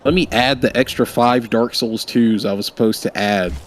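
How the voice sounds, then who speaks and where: A man's voice: mid-range voice, steady tone, normal speed, slight background noise. A man in his twenties from Maryland who hosts a livestream, rambling and thinking aloud in a loose, casual way. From Maryland, USA. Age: 20s. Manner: rambling, thinks aloud, repeats himself while doing setup. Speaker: streamer host.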